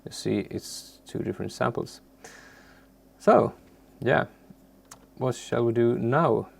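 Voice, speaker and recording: A man who is a non-native English speaker presents an unscripted software tutorial in a halting way, searching for words. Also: mid-range voice, vocal fry. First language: not English.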